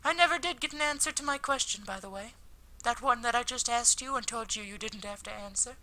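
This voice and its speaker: Man acting as visual novel schoolgirl, high-pitched, piercingly bright, kind, apologetic, gently teasing. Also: fairly quick, a little hiss or hum, exaggerated voice, rough recording. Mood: sheepish, teasing. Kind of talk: visual novel character read aloud.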